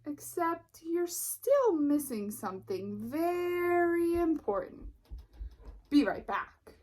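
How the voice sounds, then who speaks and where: A woman's voice: very high-pitched, very animated, slow, darkish tone, pitched above the performer's own voice, heavily acted. A woman voicing a bubbly, self-assured female sheep in a picture book, chirpy and eager. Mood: helpful, eager. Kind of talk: picture book read-aloud.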